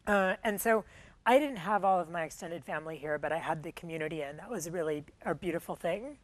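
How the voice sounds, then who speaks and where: A woman's voice: fairly low pitch, fairly quick, no echo. Thoughtful woman with many ums. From Michigan, USA. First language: Tamil. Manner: frequent ums and uhs, restarts, thoughtful. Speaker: guest. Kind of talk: conversational interview.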